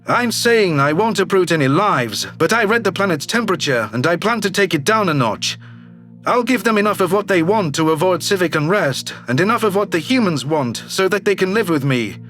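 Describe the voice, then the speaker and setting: Man putting on a glib, high-pitched alien politician. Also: lively intonation, a little fast, a bit bright, faint music behind. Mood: smug, pragmatic. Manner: glib, self-assured, casually persuasive. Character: brash, slick alien politician, newly elected male governor. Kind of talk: audio drama character reading.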